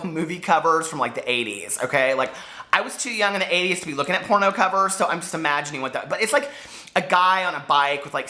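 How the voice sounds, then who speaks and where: A man's voice: sits high, sharp tone. An American man chatting casually to camera like a book vlogger, gushing and confiding, wandering off on tangents. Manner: gushing, confiding, digressive, emphatic.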